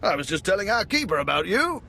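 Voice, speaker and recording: High-pitched, theatrical male spy chief. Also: sing-song, quick pace, vocal fry, bright, forceful tone, a little hiss or hum, exaggerated voice. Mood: effusive. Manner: theatrical, effusive, gossipy. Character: excitable, wry male spy station chief, theatrically chatty. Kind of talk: video game voice acting.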